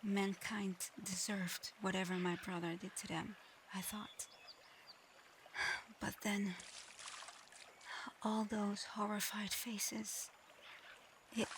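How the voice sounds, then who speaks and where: A woman playing a gentle, remorseful shapeshifting dragon in human form for an ASMR roleplay, speaking softly and haltingly, often close to a whisper. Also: mid-range voice, a little hiss or hum, natural voice. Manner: soft, breathy, often near-whispered, halting.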